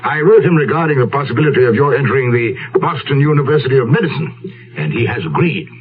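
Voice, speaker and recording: An actor playing a stern, domineering older English lord and father in a radio drama, gruff and imperious. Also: medium pitch, lively intonation, fast, close to an everyday voice. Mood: brisk. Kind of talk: period radio drama acting. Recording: tinny, narrow old radio recording.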